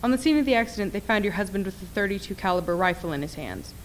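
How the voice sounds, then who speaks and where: Brisk, fast-talking doctor played by a woman. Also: medium pitch, expressive, slight background noise, natural voice, poor audio. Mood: patient, firm. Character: calm, dismissive doctor reassuring a frightened patient. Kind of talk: radio play acting.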